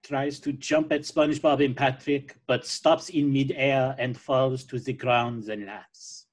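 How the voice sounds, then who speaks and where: A man's voice: mid-range voice, fairly even intonation, a little slow. A man narrating action from a cartoon script as stage directions.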